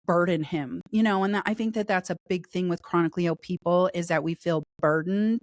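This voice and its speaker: A woman guest with a raspy voice from a cold, telling her story in a candid, talkative way during a casual interview. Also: on the low side, a little fast, darkish tone, no echo, clean studio sound.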